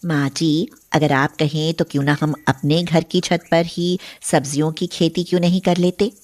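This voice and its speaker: A woman playing a caring, sensible young eldest daughter-in-law in an animated moral story, polite and respectful. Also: sits low, moderate pace, natural voice. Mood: respectful, hopeful.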